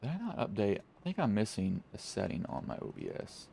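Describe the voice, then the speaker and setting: A man's voice: on the low side, huge pitch swings, fairly quick, creaky, mellow, a little hiss or hum. A man with a Southwest Virginian accent doing casual play-by-play commentary on an online sim race, rambling and correcting himself as he goes. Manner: rambling, self-correcting, talks to himself between calls.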